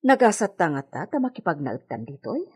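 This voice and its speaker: A woman with a Filipino accent acting a deferential, practical village household worker in a radio drama, expressive and coaxing. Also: lowish voice, fairly quick, husky. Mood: chatty.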